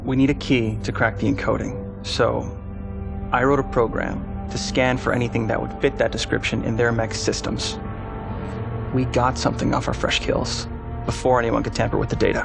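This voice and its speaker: An actor playing a young, stubbornly confident military mech pilot in a dramatic game cutscene, speaking earnestly and formally. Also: male voice, mid-range voice, little pitch movement, normal speed, vocal fry, over music. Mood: firm, respectful.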